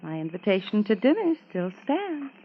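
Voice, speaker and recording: A woman's voice: mid-range voice, big pitch swings, moderate pace, close to an everyday voice. An actress playing a gracious, anxious married woman in a golden age radio drama, speaking politely and earnestly. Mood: hospitable. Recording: dull, noisy vintage radio recording.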